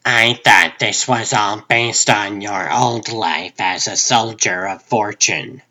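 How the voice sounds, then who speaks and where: A man's voice: fairly low pitch, slow pace, sharp tone, pitched above the performer's own voice, heavily acted. A man voicing a harried, skeptical assistant to a television producer in a comic book dub, pointed and questioning. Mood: puzzled.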